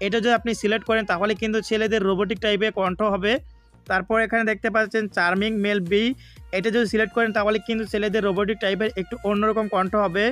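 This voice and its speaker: A Bangladeshi man narrates a gaming tutorial in a high voice. His melody stays flat while he moves quickly through each step, repeating himself to explain. Faint music plays behind him. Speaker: gaming YouTuber.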